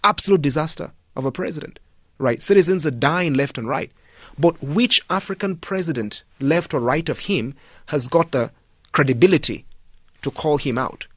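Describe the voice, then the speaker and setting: A man's voice: on the high side, expressive, moderate pace, fairly bright tone, husky, no room sound. A man speaking as a studio guest on a radio talk show, making his points emphatically with pointed rhetorical questions. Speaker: studio guest, political commentator.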